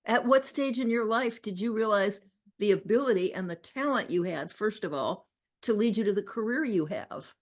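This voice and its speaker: Woman hosting, halting and searching. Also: medium pitch, expressive. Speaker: interview host. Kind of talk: conversational interview.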